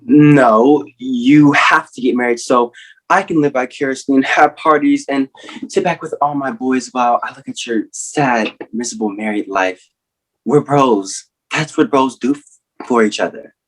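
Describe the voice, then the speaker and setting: A man's voice: mid-range voice, unhurried, close to an everyday voice, poor audio. A man playing a flamboyant, sassy, bossy best friend in a comic stage reading. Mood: insistent.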